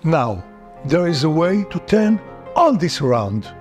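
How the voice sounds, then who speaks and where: Earnest man, lively pitch. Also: measured pace, muffled recording, soft background music. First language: Hebrew. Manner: earnest, rhetorical questions, emphatic. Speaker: political commentator. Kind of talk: scripted video essay.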